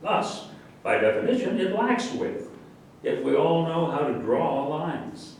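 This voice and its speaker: A man playing a self-assured scientific commander in a staged satirical reading, explaining things in a deliberate, pseudo-scholarly way. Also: on the high side, sing-song, gravelly, darkish tone, exaggerated voice. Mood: pedantic, confident. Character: pedantic, self-assured male scientific commander spouting nonsense. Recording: echoey room, distant mic, faint noise.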